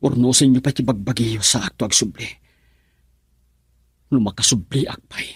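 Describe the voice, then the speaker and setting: An actor playing a defiant, earnest young son and sweetheart in a Filipino radio drama, with a husky voice and Filipino accent. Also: male voice, mid-range voice, moderate pace, natural voice. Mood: pleading, resolute. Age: young adult.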